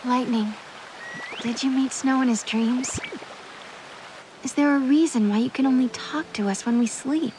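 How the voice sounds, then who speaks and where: Earnest young heroine, high-pitched voice. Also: female voice, measured pace, long pauses, a little mellow, a little hiss or hum. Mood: curious. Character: earnest gentle young heroine, caring and thoughtful. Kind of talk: video game cutscene acting.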